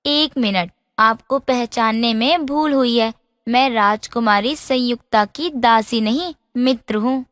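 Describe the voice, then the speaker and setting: A woman's voice: on the high side, slow pace, a bit bright, dry recording, studio quality, big-room sound. A woman playing a princess's loyal young friend in a fairy tale, forthright and protective. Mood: assertive.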